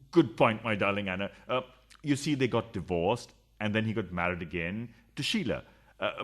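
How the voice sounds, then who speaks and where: A man's voice: mid-range voice, fairly bright tone, close to an everyday voice. A man playing a scheming, philandering householder in a farcical radio play, sarcastic and evasive. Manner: sarcastic, evasive, fumbling through excuses. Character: flustered, scheming philandering householder, sarcastic middle-class husband.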